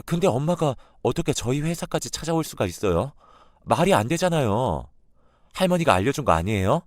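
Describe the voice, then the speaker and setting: A man's voice: mid-range voice, big pitch swings, moderate pace, dry recording, clean studio sound, lightly acted. A man voicing a young wife who reacts with shock and anger in a read-aloud story. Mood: furious. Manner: shocked, then shouting. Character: young wife, hurt and furious.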